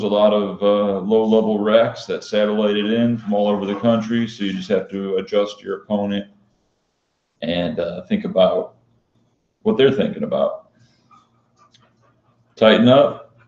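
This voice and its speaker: A man chatting casually as a live-stream host, answering viewers in a monotone, flat and matter-of-fact manner. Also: on the low side, measured pace, pauses often. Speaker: professional poker player and vlogger hosting a live stream. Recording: dull, lo-fi sound in echoey room.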